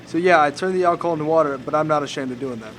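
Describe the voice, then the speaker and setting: A man acting as a gentle, forgiving Christ-like son, with an earnest, patient way of talking in film dialogue. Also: fairly high pitch, rapid-fire, slight background noise, lightly acted, low-quality recording. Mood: frank.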